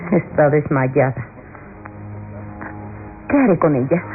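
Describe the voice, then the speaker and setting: Radionovela villainess: scheming, desperate, melodramatic self-talk. Narrow vintage radio sound with prominent music. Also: female voice, low voice. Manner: melodramatic, talking to herself. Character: scheming, desperate murderous niece plotting to silence her aunt. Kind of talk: classic radio soap acting. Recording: tinny old radio recording, music behind.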